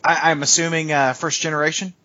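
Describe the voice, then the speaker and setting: A man's voice: on the high side, level tone, a little fast, pushed and bright, muffled recording. A man as game master, casually questioning a player and explaining rules in an agreeable, tentative way. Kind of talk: casual interview-like chat about tabletop gaming.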